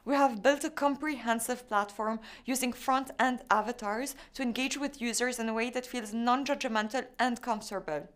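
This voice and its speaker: A young woman from Lebanon, a startup founder, delivering a rehearsed, measured pitch. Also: fairly even intonation, fairly bright tone. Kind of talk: scripted pitch.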